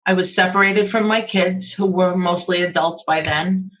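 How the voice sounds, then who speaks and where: A woman's voice: lowish voice, steady tone, moderate pace, a bit bright, rough recording. A middle-aged woman from Queens with a New York accent, chatting as an interview guest and telling stories in a friendly way. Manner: friendly, storytelling with acted quotes. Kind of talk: casual interview chat.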